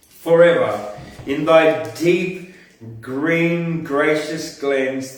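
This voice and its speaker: Slow-speaking man in a very echoey room. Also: mid-range voice. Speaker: poetry podcast host and reader. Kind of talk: poetry recitation with casual asides between poems. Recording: echoey room, distant from the mic.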